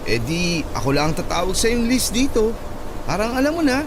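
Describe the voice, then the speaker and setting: A man's voice: high-pitched, sing-song, moderate pace, noisy background. A man playing a playful, persistent young suitor in a radio romance, teasing and coaxing with melodramatic flair. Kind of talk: radio romance drama acting. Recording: loud music under the dialogue. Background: loud music.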